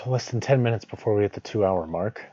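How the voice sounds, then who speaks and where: A man with a relaxed, dark voice reads and chats like a storytelling streamer. The sound is dull and somewhat echoey. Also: on the low side, normal speed, slightly rough. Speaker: streamer reading fiction. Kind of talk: storybook narration and casual stream chat. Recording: dull lo-fi audio, roomy echo.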